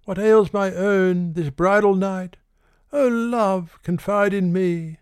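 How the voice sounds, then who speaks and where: A man's voice: high-pitched, fairly even intonation, takes it slowly, a little mellow, clear, resonant tone, no room sound, studio quality, voice pushed up. A man voicing an ardent young shearer posing as a lord, wooing in a declamatory manner in a recited ballad.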